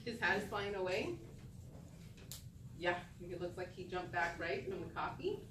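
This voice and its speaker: A woman's voice: on the low side, animated, a little slow. Female teacher, read-aloud with questions, heard from across an echoey room. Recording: echoey room, distant mic, noisy background.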